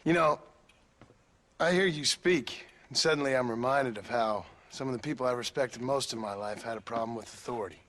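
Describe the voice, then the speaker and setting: An actor playing a dry, dogged gunslinger in a Western video game, laconic and sardonic, with an American accent. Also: male voice, medium pitch, a little fast, a bit bright, close to an everyday voice. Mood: insistent. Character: dry, dogged gunslinger, courteous but sharp-tongued.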